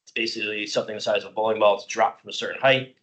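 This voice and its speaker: A middle-aged man, slightly hoarse, presenting a parks budget plan at a public commission meeting, explaining informally with many "uh" fillers. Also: lowish voice, fairly even intonation, racing, fairly bright tone. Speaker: county parks department staff presenter.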